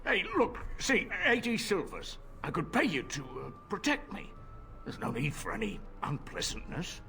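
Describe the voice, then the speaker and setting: An actor playing a greedy, stubborn middle-aged tavern keeper in a video game, wheedling and then cowardly. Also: male voice, a little high, wildly swooping pitch, moderate pace, gravelly, bright, forceful tone, exaggerated voice. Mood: nervous. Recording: game audio with faint ambience.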